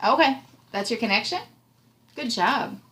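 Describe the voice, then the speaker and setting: A woman's voice: fairly high pitch, expressive, a little slow, sharp tone. A woman in her thirties reading a picture book aloud to a child, encouraging and chatting as she explains and asks questions. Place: small room indoors. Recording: noisy home recording with room ambience.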